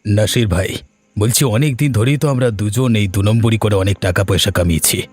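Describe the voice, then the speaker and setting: A man's voice: on the low side, a little fast, darkish tone, breathy edge, no room sound. A man voicing a repentant crook in a cartoon, earnest and admonishing as he speaks. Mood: regretful. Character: repentant male crook, an earnest, protective older comrade. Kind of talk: cartoon voice acting.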